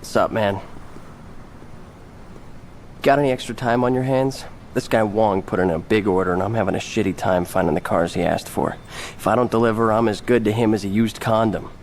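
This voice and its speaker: Man acting a low-voiced, harried car thief. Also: fairly even intonation, moderate pace, slight background noise, lightly acted. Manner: slangy, casual. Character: stressed, foul-mouthed male small-time car thief.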